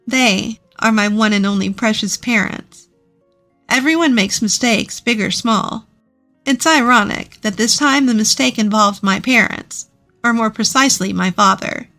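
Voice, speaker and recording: A woman's voice: fairly high pitch, unhurried, sharp tone, faint music behind. A woman reading a scripted first-person story as its narrator.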